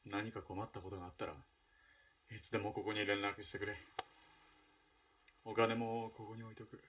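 A man's voice: lowish voice, little pitch movement, moderate pace, fairly bright tone. An actor playing a stern, protective young man and loyal grieving friend in a melodramatic radio drama. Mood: subdued, consoling. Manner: urgent, firm, emotional. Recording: slightly distant from the mic.

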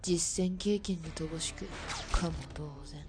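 A woman playing a cold, composed veteran sniper in a radio drama, murmuring in a measured, menacing way. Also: fairly low pitch. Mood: cold, scornful.

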